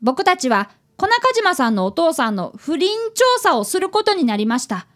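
A woman acts out a fifth-grade boy training as a detective in a radio drama. The voice is very high and swings animatedly in pitch. He speaks politely and earnestly, both in dialogue and narration. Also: big pitch swings, moderate pace, a bit bright. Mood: earnest narrating.

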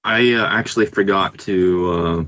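A man's voice: low-pitched, unhurried, a bit bright, dull audio. A man chatting casually as a musician guest on a podcast over a remote call. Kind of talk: casual chat. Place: remote Skype call from Canada.

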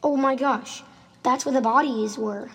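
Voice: high-pitched, a little slow, fairly bright tone. A performer playing an earnest, insistent schoolboy in an amateur radio drama. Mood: nervous, urgent. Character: Timmy, earnest schoolboy who insists on what he saw. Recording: home recording with clear noise and clicks.

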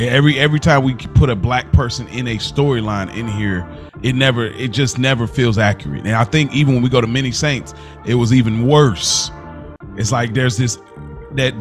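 An American man hosting a podcast, chatting casually as he reviews television. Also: medium pitch. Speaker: podcast host.

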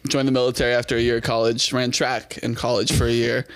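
A man's voice: mid-range voice, flat delivery, fast, fairly bright tone, dry recording. Chill young texas-raised guy on a podcast, jokes on himself, dry close sound. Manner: laid-back, self-mocking, chuckling. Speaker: podcast guest. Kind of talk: casual chat.

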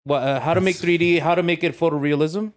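A man's voice: a little high, fast talker. A man chatting casually as a co-host on a podcast. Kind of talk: casual chat.

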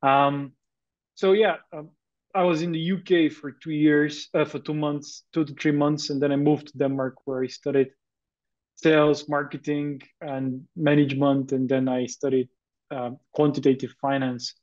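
A man's voice: fairly high pitch, flat delivery, normal speed, darkish tone. Man on a muffled line. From Bulgaria. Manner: hesitant, many fillers, earnest. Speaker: guest entrepreneur. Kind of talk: podcast interview.

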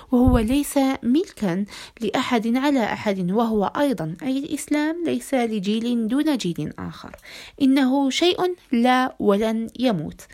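High-voiced, slightly hoarse woman. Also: a little mellow. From Algeria. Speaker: podcast host. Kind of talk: monologue addressed to listeners in formal Arabic.

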